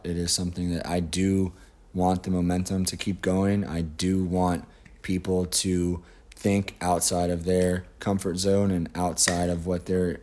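A man co-hosting a casual podcast, his voice raspy and hoarse, speaking in an earnest, measured way. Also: low-pitched, darkish tone. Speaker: podcast co-host. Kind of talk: casual chat.

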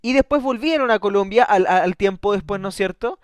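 High-voiced chatty male radio host. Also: brisk, clear, resonant tone, dry recording. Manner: chatty, stumbles and restarts, tag questions. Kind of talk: casual interview.

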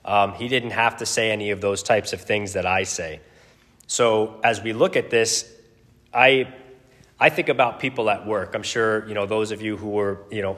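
A man gives an informal sermon in a low, booming voice. He talks conversationally and modestly, pausing and slipping in casual asides as he explains a passage. The sound carries long reverb, as in a large meeting hall. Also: a bit bright. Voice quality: deep, booming voice. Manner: conversational, self-deprecating, hesitant pauses with casual asides. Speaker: preacher teaching a Bible study.

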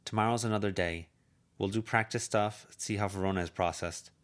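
A man's voice: fairly low pitch, steady tone, fairly quick, close to an everyday voice. A man reading an amateur audiobook, voicing a furious teenage girl who hurls bitter, cutting insults. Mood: hard, curt.

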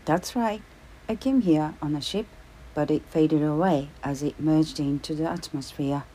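A courteous grown man who works with timber, lost far from home and speaking with gentle hope, as a woman reads him aloud in a storybook. The voice is mellow and dark, and the delivery is unhurried. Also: low voice, slightly rough. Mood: hopeful. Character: polite adult male timber worker, stranded traveller.

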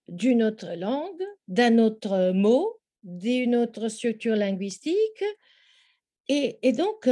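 Slow, mellow woman speaking French. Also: on the high side. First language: Italian. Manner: measured and thoughtful, with frequent hesitations. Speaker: interview guest, language teaching specialist. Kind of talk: explanatory interview answer.

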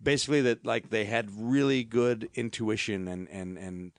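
Gravelly-voiced man telling meandering stories in loose podcast banter. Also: on the low side, normal speed, dry recording, clean studio sound. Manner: rambling, animated storytelling with many restarts. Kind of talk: casual podcast chat.

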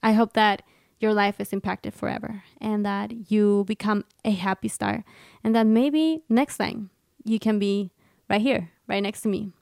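Casting: Mexican-accented woman in her thirties, upbeat podcast host, smooth voice, dry close mic. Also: mid-range voice, steady tone, a little slow, darkish tone, no room sound. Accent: Mexican Spanish. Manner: upbeat, encouraging, chatty with laughs. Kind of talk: solo podcast intro.

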